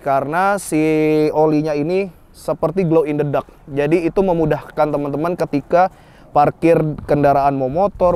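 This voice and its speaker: A man's voice: fairly high pitch, mellow, clear, resonant tone. A young man chatting casually to camera as a motorcycle vlog host. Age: young adult. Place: outdoors in an open grassy area. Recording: outdoor ambience with noise.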